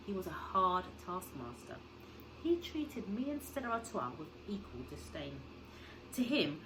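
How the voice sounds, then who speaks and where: A woman reads a children's novel aloud in a slightly low voice. Her delivery is dramatic and very lively, swinging widely in pitch like a teacher telling a story to pupils. There is clear noise on the recording. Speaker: schoolteacher reading a story to pupils.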